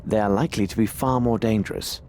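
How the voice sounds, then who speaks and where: Man acting a low-voiced, shrewd Victorian detective. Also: fairly even intonation, a little mellow, a little hiss or hum, close to an everyday voice. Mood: grave, resolute. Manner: formal, deliberate, theatrical phrasing. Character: shrewd, composed middle-aged male Victorian detective. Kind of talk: video game dramatic acting.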